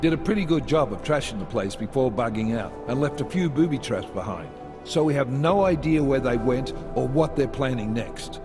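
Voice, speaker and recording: An actor playing a blunt, exasperated police unit commander in a video game, with a stern manner. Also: male voice, mid-range voice, expressive, moderate pace, long reverb tail, close to an everyday voice. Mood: urgent. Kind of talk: cinematic video game acting. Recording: game soundtrack music behind.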